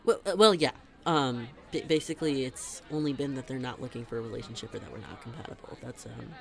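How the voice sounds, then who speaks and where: A man's voice: mid-range voice, fast, vocal fry, lightly acted. A young man playing a teasing, chatty best friend in a conversational audio roleplay, talking in a casual, emphatic way. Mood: flustered, evasive. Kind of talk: conversational scripted roleplay monologue.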